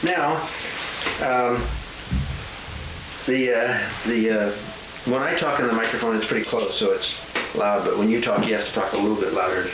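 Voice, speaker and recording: Man, gentle, coaxing, laughing often, home interview, echoey room, noisy old tape. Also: on the low side, fairly even intonation, normal speed, distant mic.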